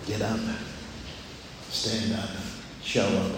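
Casting: older man, loud-voiced preacher, very slow deliberate delivery, heavily reverberant hall recording. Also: on the low side, level tone. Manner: measured, deliberate, with frequent pauses. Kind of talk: sermon. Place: large echoey room, speaking to a congregation. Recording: very echoey hall, clear background noise.

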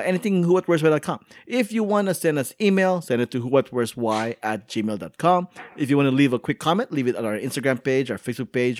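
A man's voice: mid-range voice, dry recording, studio quality. A man hosting a podcast in casual chat, joking and laughing often as he talks. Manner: jokey, animated, laughs often. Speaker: podcast host.

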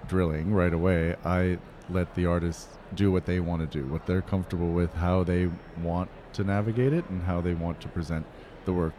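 A man from Pennsylvania, a curator, answering interview questions in a measured, earnest way. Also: low-pitched, fairly even intonation, warm tone, slight background noise. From Pennsylvania, USA.

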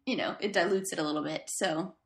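A woman's voice: on the low side, fast talker. A woman co-hosting a podcast, recapping in casual, rambling chat and laughing easily. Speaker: podcast co-host.

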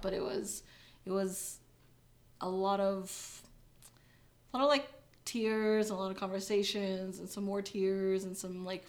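Young woman, casual interview guest, chatty, filler-heavy, laughs easily. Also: medium pitch. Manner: chatty, many fillers, laughs when uncomfortable.

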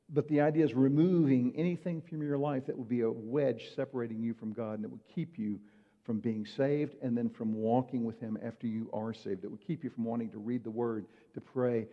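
A man preaching a sermon in a conversational way, asking his listeners rhetorical questions. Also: medium pitch. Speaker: pastor. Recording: muffled hall reverb.

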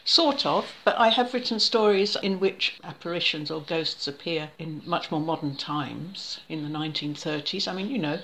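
Older woman novelist from New Zealand, lowish voice, chatty and digressive, slight hiss behind her. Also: normal speed, few pauses, a bit bright, rough recording. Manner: rambling, self-deprecating, laughs often. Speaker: author guest. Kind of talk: relaxed conversational interview.

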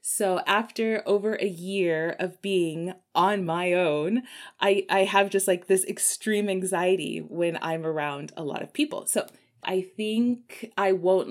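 A woman travel vlogger from Texas, likely in her thirties, answering viewer questions in a casual, chatty way and laughing often. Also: measured pace. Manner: chatty, laughs often, lively swings in pitch. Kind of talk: casual chat.

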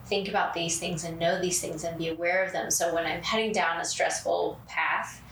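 A woman hosting a podcast in a casual chat, with a soothing, even cadence and many fillers. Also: on the low side, normal speed. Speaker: podcast host. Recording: roomy, distant mic, faint noise.

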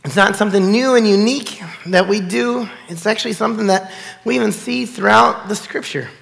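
Male pastor, 40s, high gravelly nasal voice, hoarse, chatty sermon delivery, reverberant sanctuary. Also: fairly quick, a bit bright. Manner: chatty, conversational preaching with fillers. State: hoarse, recovering from illness. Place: church sanctuary. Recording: church hall with long reverb.

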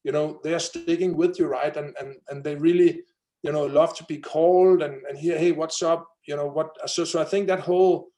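Thirties male founder, Danish accent, lively guest energy, slightly higher voice. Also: a little fast. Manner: energetic, many fillers and restarts. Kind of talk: conversational interview.

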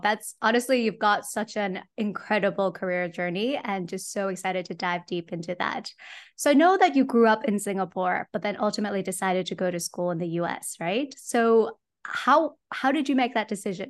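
A woman's voice: medium pitch, lively intonation, moderate pace, reverberant. A woman hosting a podcast interview, speaking in an upbeat, enthusiastic way. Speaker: podcast host and career coach.

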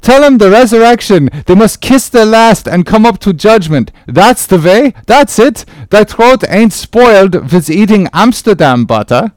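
A man's voice: high voice, expressive, unhurried, few pauses, dry recording, pitched above the performer's own voice. A man voicing a rowdy old-time sailor who jeers and eggs on his mates. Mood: boisterous. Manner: jeering, boisterous.

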